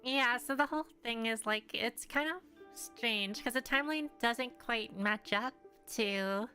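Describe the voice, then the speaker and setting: A woman's voice: on the high side, a little slow, pushed and bright, faint music behind. A woman doing casual game commentary, thinking aloud in a hesitant, rambling way. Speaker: game streamer.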